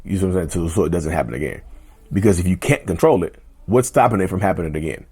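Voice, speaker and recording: A man hosting a casual mental health vlog, talking straight to viewers in a loose, repetitive way, his voice going a little hoarse. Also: sits low, lively intonation, fast talker, warm tone. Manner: conversational, repeats himself, often addresses viewers as "y'all". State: voice getting hoarse. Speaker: mental health video host. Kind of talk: casual direct-to-camera talk.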